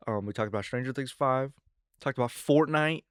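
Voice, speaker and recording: An eighteen-year-old man hosting his own podcast, rambling casually with lots of fillers and excited asides. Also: medium pitch, moderate pace, no echo, clean studio sound. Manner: rambling, filler-heavy, excitable teen talk. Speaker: podcast host. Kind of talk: casual solo podcast chat.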